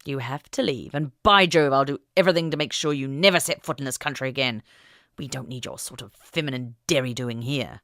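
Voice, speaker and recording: A woman's voice: a bass voice, brisk, a bit bright, dry recording, studio quality, pitched below the performer's own voice. A woman voicing a stern, pompous prime minister in an audiobook story, scolding and threatening. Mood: angry, threatening.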